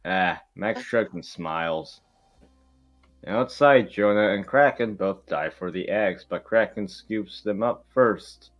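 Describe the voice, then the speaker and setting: A man's voice: on the low side, slow pace, lo-fi sound, soft background music. A man reading stage directions at an amateur table read in a casual, irreverent, joking way with swearing ad-libs. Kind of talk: casual, joking script narration.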